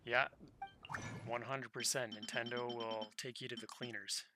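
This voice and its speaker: A man's voice: mid-range voice, little pitch movement, a little slow, noisy background. A man livestreaming a game, chatting casually in an easygoing, slangy, conversational way. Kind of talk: casual chat while gaming. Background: game music.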